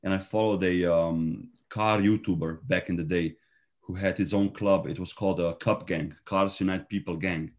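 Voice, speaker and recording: A man's voice: deep voice, steady tone, moderate pace. A man with a Slovenian accent, chatting casually as an interview guest, laughing often and restarting his sentences.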